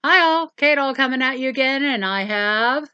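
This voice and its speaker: A woman's voice: high-pitched, moderate pace, pushed and bright, clean tone, dry recording, lo-fi sound. A woman hosting a casual doll review, rambling along with lots of ums and self-corrections. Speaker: toy review channel host.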